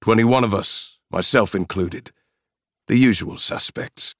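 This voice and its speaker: A man voicing a gruff, blunt South African mercenary leader in his late forties, with a South African accent and a gravelly voice. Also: on the low side, measured pace, dry recording, studio quality, voice pushed up. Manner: blunt, surly.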